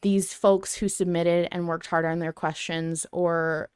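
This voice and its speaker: A woman's voice: fairly low pitch, level tone, a little slow, a little mellow, clean tone, no room sound, clean studio sound. A woman hosting a podcast, talking conversationally as she presents listener submissions.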